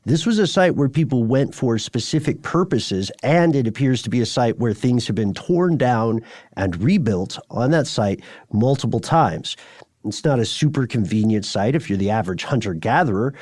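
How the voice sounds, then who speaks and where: A man speaks as a podcast co-host in a medium-pitched voice, with very little room sound around him. He explains in a measured, wordy way and drops in playful asides as he talks. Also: animated, a little mellow, dry recording, studio quality. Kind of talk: conversational explanatory discussion.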